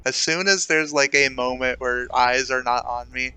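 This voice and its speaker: A man's voice: mid-range voice, fairly even intonation, moderate pace, sharp tone, clear, resonant tone. A man playing a tabletop game, talking in a laid-back, casual way with plenty of fillers. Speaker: player.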